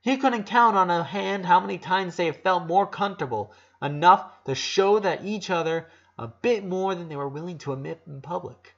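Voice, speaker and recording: A man's voice: high voice, moderate pace, gravelly, few pauses, fairly bright tone, muffled recording. A man reading a dramatic story aloud as narrator, emotive, with occasional stumbles.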